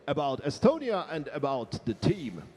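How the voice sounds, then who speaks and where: A man's voice: fairly high pitch, wildly swooping pitch, normal speed, noisy background. A man hosting a live cooking competition, playful and enthusiastic as he chats with guests in a large hall. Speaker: event host. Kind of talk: live event commentary and interviews. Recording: long echo of a big hall.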